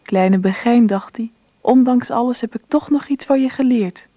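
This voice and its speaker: A woman's voice: fairly high pitch, normal speed, mellow, no room sound, natural voice. An actress playing a reflective woman narrator, reading scripted narration for a radio drama. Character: adult woman narrator telling the story, reflective.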